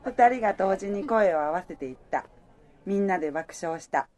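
女性が演じる 恋に揺れる働く女性の語り. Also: female voice, mid-range voice, expressive, normal speed, darkish tone, close to an everyday voice. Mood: warm, reflective. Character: romantic working woman, easily flustered, telling her own story. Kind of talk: radio drama dialogue and first-person narration.

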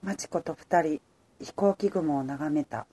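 A woman playing the wistful heroine of a radio romance, a female apparel worker narrating her own love story in a soft, reflective way. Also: low voice, measured pace, breathy edge. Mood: wistful, grieving. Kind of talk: radio drama narration and dialogue.

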